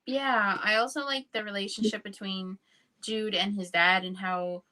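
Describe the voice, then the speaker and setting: A woman's voice: mid-range voice, steady tone, normal speed, sharp tone, low-quality recording. A woman chatting casually about books on a livestream, informal and earnest in manner. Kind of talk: casual book chat.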